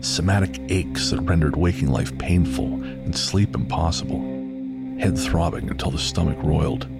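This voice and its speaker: A man's voice: sits low, moderate pace, creaky. A man narrating a scripted literary horror story for an audio drama. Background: faint music.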